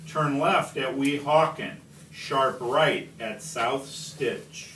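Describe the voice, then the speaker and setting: A man's voice: mid-range voice, extremely slow, a bit bright. A man reading as a brash, greedy storybook businessman, brusque, bossy and dismissive. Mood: eager, bossy. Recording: distant mic, echoey room, background noise.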